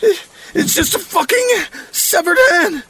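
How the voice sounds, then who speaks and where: A man's voice: lowish voice, big pitch swings, moderate pace, pushed and bright, breathy edge, dry recording, exaggerated voice. A man playing a scheming, foul-mouthed secret lover in a horror audio drama, with a dramatic delivery. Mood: agonized, frantic.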